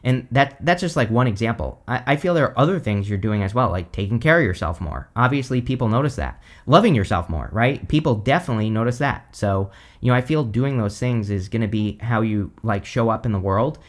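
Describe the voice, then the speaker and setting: A man giving a casual tarot reading, hoarse because he is losing his voice, rambling with lots of "like" and "you know". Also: medium pitch, animated, brisk, hardly any pauses. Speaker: tarot reader.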